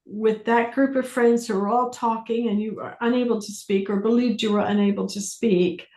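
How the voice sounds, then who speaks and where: A woman facilitating a self-inquiry class, speaking deliberately with pauses, questions and phrases repeated for emphasis. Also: fairly high pitch, steady tone, a little mellow. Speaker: workshop facilitator leading a group class. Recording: roomy echo on the voice.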